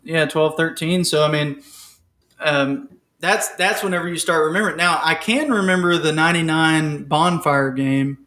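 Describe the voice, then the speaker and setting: Thirties male co-host, fairly high pitch, easygoing and hesitant. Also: normal speed, bright, forceful tone. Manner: reminiscing, hesitant fillers. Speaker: podcast co-host. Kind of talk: casual sports chat.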